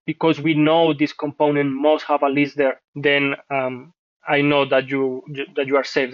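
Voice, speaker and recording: A man from Colombia whose first language is Spanish, hosting a software tutorial and talking through a screen-share at a measured pace with frequent fillers. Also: on the high side, no echo, highs cut off. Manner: thinking aloud, measured, many fillers. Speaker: host reviewing software scripts.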